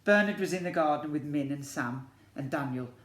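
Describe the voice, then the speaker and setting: A man's voice: fairly high pitch, moderate pace, a bit bright. A man, a teacher reading a children's story expressively and breaking off to ask his young listeners questions. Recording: echoey room.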